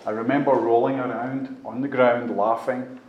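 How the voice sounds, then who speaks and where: A man's voice: mid-range voice, flat delivery, normal speed, narrow, tinny audio. A man in his fifties with a Glasgow-area Scottish accent, giving a plain-spoken, conversational personal testimony to a group. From Scotland, just outside Glasgow. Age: 50s. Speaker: speaker sharing at a recovery meeting. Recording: echoey room with faint background noise.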